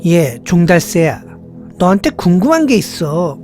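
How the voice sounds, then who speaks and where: A woman's voice: on the low side, very animated, a little slow, mellow. A woman voicing a curious, blunt owl in a storybook, inquisitive and chiding. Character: curious, blunt owl questioning a caged lark. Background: background music.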